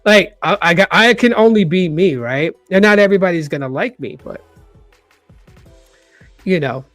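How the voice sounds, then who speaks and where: A man's voice: sits high, moderate pace, a bit bright, no echo, faint music behind. A man in his forties with a light voice, talking casually as a tech channel host, rambling and repeating words with lots of fillers. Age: 40s. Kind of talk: casual vlog talk.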